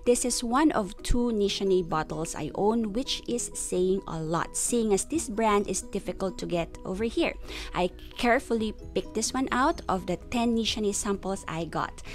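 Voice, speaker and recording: A woman's voice: mid-range voice, normal speed. Reviewer, female, young adult, from the Philippines: eager, descriptive delivery over faint background music. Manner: enthusiastic, descriptive, stretches words for emphasis. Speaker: perfume reviewer. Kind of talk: friendly scripted product review.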